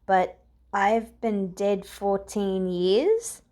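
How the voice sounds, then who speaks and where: A woman voicing a boyish, excitable young man with a teasing streak in a story reading. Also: mid-range voice, very slow.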